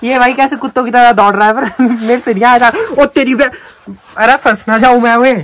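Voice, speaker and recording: A young man filming himself on a phone for a casual challenge vlog, outdoors on a hillside and crawling into a cave, chatting excitedly and calling his friend bhai. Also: very high voice, fairly quick, dry recording. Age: young adult. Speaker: vlogger. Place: outdoors on a green hillside and inside a narrow cave. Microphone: phone camera at arm's length.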